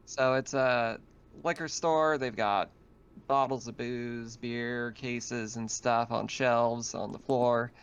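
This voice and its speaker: Guy game mastering online, casual, smooth clear voice, slightly muffled audio. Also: medium pitch, fairly even intonation, takes it slowly. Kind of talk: casual tabletop game narration. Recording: slightly dull online voice chat.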